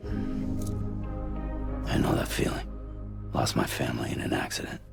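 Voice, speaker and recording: A man's voice: takes it slowly, over music, lightly acted. An actor playing a weary, guarded man of few words, a courier in a video game, speaking in a terse, reluctant mutter. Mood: gruff, guarded. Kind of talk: cinematic video game acting.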